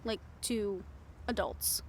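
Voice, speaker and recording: A woman's voice: mid-range voice, takes it slowly, creaky, noisy background. A woman playing a brash, cynical, foul-mouthed reporter in an improvised audio roleplay, with a blunt, sardonic manner. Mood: teasing, wry.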